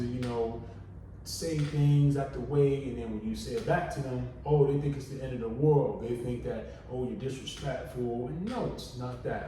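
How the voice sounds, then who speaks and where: A soft-spoken man in his thirties with a deep voice, chatting casually on a podcast in a relaxed, drawling way with many fillers. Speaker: podcast co-host. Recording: echoey room, distant mic, faint noise.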